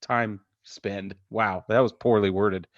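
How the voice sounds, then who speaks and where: A man's voice: on the low side, steady tone, slow pace, no room sound, lo-fi sound. A man hosting a podcast, chatting informally and jokingly about sports. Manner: jokey, informal. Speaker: podcast host. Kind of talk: casual sports chat.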